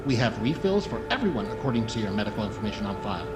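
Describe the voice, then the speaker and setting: A man playing a brisk, businesslike medical volunteer announcing instructions in an audio drama. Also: on the low side, big pitch swings. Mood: brisk, orderly. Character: brisk male medical volunteer directing arrivals. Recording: music behind, busy intake scene.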